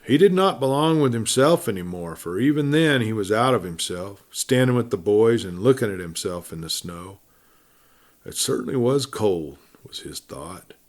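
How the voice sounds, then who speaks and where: A man reading a classic adventure story as a dramatic audiobook, with measured, emphatic phrasing that often falls away softly at the ends of sentences. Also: on the low side, animated.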